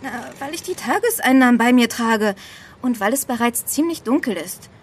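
A woman's voice: fairly high pitch, lively intonation, moderate pace, a little hiss or hum, close to an everyday voice. A woman playing a talkative, teasing young woman in a German audio drama. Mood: startled, then friendly. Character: chatty, teasing young woman, a travel agency clerk.

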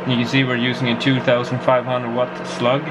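A man giving a casual hands-on project demonstration as a hobbyist presenter, with a hesitant, halting delivery. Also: mid-range voice, completely flat delivery, very noisy. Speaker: amateur radio hobbyist presenter. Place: indoor home workshop.

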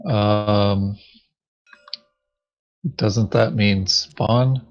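A man's voice: fairly low pitch, fairly even intonation, extremely slow, lo-fi sound. A man chatting casually as a fellow board game player, giving brief, laid-back replies. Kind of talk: casual tabletop gameplay chat.

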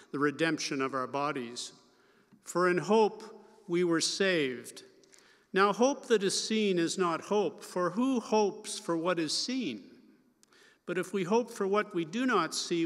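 An older man with a Canadian accent reading scripture and preaching a sermon from a pulpit in a wood-paneled church, in a slow, measured way. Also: a little high. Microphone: pulpit microphone at lectern. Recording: long church reverb.

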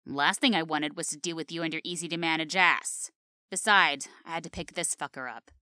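A woman's voice: low voice, a little fast, very noisy, natural voice. A woman voicing a surly, impatient young hellhound in a cartoon audio drama, snappish and sarcastic. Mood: irritated.

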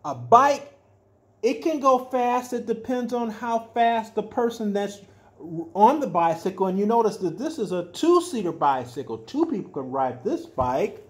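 An older man reading a children's picture book aloud as a storyteller, adding simple explanations with drawn-out emphatic words. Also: high-pitched, expressive. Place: small furnished room at home, indoors.

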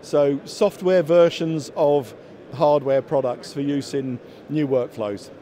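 A man's voice: fairly high pitch, steady tone, slow pace, warm tone. A man in his fifties explaining products as a company executive in a trade show interview, often restarting and repeating words. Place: busy exhibition hall. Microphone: handheld interview microphone held by interviewer.

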